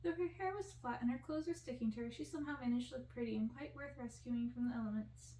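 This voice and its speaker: A woman's voice: a little high, fast talker, warm tone, a little hiss or hum. A woman reading a fairy-tale novel aloud as scripted ASMR narration, recorded at a distance in a roomy space. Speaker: audiobook-style reader for ASMR channel.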